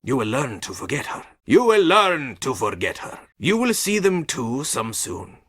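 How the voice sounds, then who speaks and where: A man's voice: mid-range voice, big pitch swings, unhurried, fairly bright tone. Imperious, menacing underworld king, wide-swinging delivery. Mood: commanding. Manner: commanding, grandiose, threatening. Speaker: actor performing game voice lines. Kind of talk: theatrical mythic stage drama acting.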